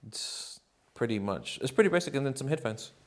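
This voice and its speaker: A man, answering interview questions in a relaxed, rambling way with many ums and likes. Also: mid-range voice, fairly quick. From New Zealand. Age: 30s.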